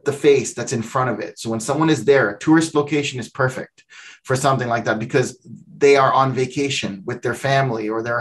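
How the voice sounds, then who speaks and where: A middle-aged man on a video call from his home office, calmly walking the listener through a practical explanation. His voice sits at an ordinary middle pitch for a man, and he gestures freely as he talks. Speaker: company founder explaining software. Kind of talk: video call interview answer.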